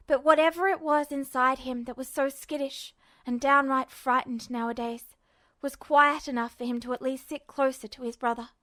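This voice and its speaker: A woman's voice: high-pitched, a bit bright. A woman reading scripted fiction aloud as a narrator. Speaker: podfic narrator.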